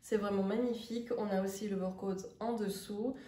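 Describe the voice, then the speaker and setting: A woman with a stuffy nose from a cold, chatting casually as a sewing vlogger and pausing often with fillers. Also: mid-range voice, fairly even intonation, mellow. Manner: chatty, hesitant with many fillers. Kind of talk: casual vlog chat. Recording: roomy, distant from the mic.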